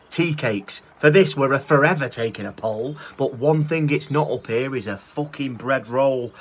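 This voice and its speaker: A man's voice: a little high, a bit bright. A man in his thirties with a Northern English accent, reciting his own spoken word poem in a rhythmic, cheeky style, filmed outdoors on a phone held at arm's length. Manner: rhythmic rhyming delivery, cheeky and swearing. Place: outdoors by a brick wall.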